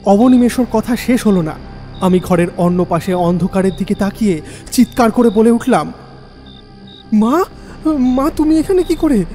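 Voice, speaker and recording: An actor playing an uneasy first-person narrator of a scripted horror story, telling it in a measured, suspenseful way. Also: male voice, high-pitched, dark tone, in a large hall. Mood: startled. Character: uneasy male first-person narrator listening to ghost tale.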